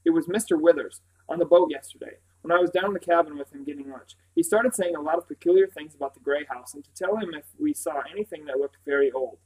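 A man voicing an observant, anxious little boy in an audiobook, with a small clear voice and an earnest, hesitant manner. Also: a little high, fast talker, few pauses, darkish tone, natural voice, low-quality recording. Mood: thoughtful. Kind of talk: audiobook character voice.

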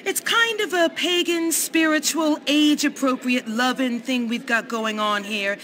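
A woman's voice: sits high, unhurried, a bit bright, a little hiss or hum. A woman playing a flighty, earnest New Age drama teacher with a gushing, dramatic manner, in a video game cutscene.

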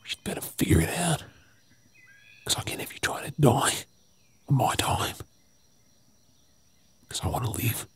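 Wary Australian male survivor, acted by a man for zombie ASMR drama: breathy, husky whispering in slow, terse phrases. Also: medium pitch, big pitch swings, heavily acted. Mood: stern, exasperated. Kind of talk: whispered ASMR role play.

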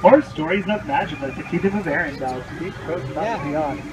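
A man's voice: on the high side, animated, brisk. A man guiding an outdoor boat ride, telling fairy-tale stories in a scripted storybook spiel with playful asides. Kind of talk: scripted tour narration.

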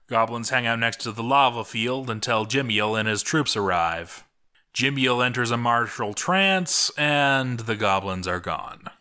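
A man reading a written chronicle aloud as a narrator, with deliberate, theatrical emphasis on names. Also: mid-range voice, pushed and bright. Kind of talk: scripted narration, dramatic read-aloud.

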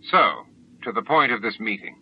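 A man voicing a vain, controlling alien grand master in an audiobook, formal, measured and condescending. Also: on the low side, sing-song, breathy edge, heavily acted. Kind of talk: audiobook character acting. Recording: dull lo-fi audiobook tape with music.

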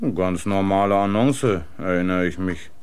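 An actor playing a gruff, plain-spoken master butcher in a German radio crime play, chatting in a grumbling manner. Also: male voice, sits low, normal speed, a little mellow, close to an everyday voice.

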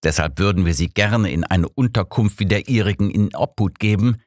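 A man voicing a sardonic, sharp-minded elderly detective in an audiobook, pointed and dryly persuasive. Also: deep voice, normal speed, no room sound, clean studio sound, pitched above the performer's own voice. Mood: smoothly polite. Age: older.

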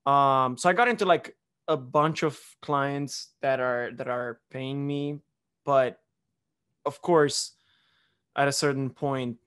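A man's voice: medium pitch, fairly even intonation, slow pace, fairly bright tone, smooth. Young guy from romania rambling on his home podcast, lots of ums and restarts, close mic. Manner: rambling, many restarts and fillers. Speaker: podcast host. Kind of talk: casual chat. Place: living room at home. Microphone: studio mic on boom arm, close.

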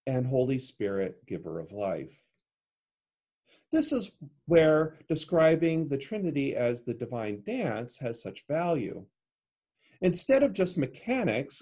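Man preaching a homily from home. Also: breathy edge. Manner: earnest, explanatory, lively pitch swings. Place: home room, indoors. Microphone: webcam at desk.

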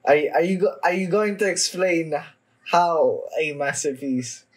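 A young man giving casual, off-the-cuff reaction commentary, halting and sweary, often repeating his phrases. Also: fairly high pitch. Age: young adult. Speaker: reaction video host. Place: small room indoors at home.

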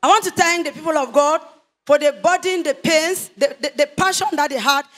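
A woman's voice: sits high, a little fast, over a noisy crowd, heavy background noise. An older woman giving a fervent testimony in a preaching cadence with biblical phrasing, into a handheld microphone in a large church hall.

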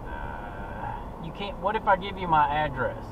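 A man's voice: fairly high pitch, huge pitch swings, unhurried. Hesitant man driving, distant mic. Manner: stalling, feigned confusion, hesitant. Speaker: scambaiter. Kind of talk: phone call while driving. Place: inside a moving car. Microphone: dashcam mic. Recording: distant, dull car mic with road noise.

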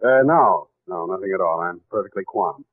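An actor playing a dry, sardonic hardboiled private detective in a 1940s radio drama. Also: male voice, deep voice, animated, moderate pace, dry recording. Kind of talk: 1940s radio acting and narration. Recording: tinny vintage 1940s radio broadcast.

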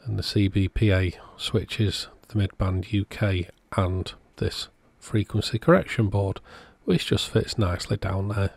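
A man's voice: low voice, unhurried, gravelly, few pauses, a little mellow, slightly rough, dry recording. A man giving a casual, matter-of-fact workbench narration as the host of an electronics repair video.

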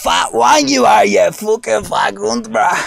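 A man voicing a raspy old smoker junkie who trash-talks strangers in game voice chat. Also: high-pitched, a little slow, heavy background noise, exaggerated voice. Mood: taunting. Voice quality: gravelly smoker's rasp. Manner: taunting, swearing trash talk at strangers. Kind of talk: voice trolling in online game chat. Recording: loud music over the voice.

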